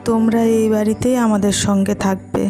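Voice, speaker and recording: A woman's voice: mid-range voice, little pitch movement, a little fast, warm tone, soft background music, close to an everyday voice. A woman playing a wronged but forgiving village mother in a Bengali cartoon drama, speaking in an earnest, plaintive way. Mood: grateful, pleading.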